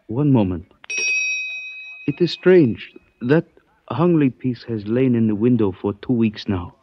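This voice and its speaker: An actor playing a suave male antique dealer who speaks in stilted, formal phrases, measured and evasive, in 1940s radio style. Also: mid-range voice, animated, slightly rough. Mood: cryptic, courteous. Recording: dull dry old radio recording.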